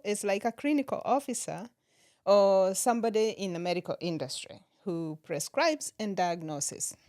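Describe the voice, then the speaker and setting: A middle-aged woman from Kenya, a nurse practitioner, talking as a podcast guest in a relaxed way and laughing often. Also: medium pitch, dry recording, clean studio sound. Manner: laughs often while telling stories. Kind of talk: relaxed interview conversation.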